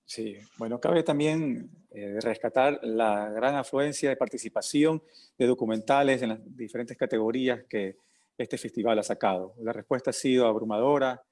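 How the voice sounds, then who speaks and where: Man moderating a panel, formal and measured. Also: medium pitch, darkish tone. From Ecuador. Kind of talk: hosting a panel.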